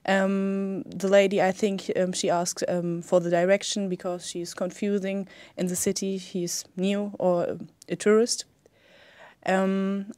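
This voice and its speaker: A woman's voice: mid-range voice, a little mellow, dry recording. Young german-accented woman fumbling thru exam answers, lots of ums, dry sound. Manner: hesitant, many ums. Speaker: exam candidate.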